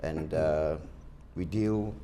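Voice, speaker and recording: A man's voice: very deep voice, extremely slow, dark tone, a little hiss or hum. An older man from Barbados, a pastor, answering interview questions earnestly with many restarts.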